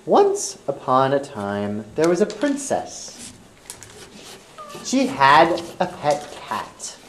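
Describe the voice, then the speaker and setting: A woman's voice: a bass voice, wildly swooping pitch, drawn-out words, a little hiss or hum. A woman narrating an improvised fairy tale for a children's puppet show, in simple, repetitive phrases.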